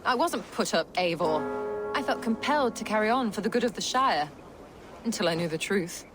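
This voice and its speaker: A woman playing an earnest, troubled Saxon noblewoman in a video game, protective and measured in her speech. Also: medium pitch, expressive, over music, natural voice. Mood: defensive. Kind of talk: video game cutscene acting.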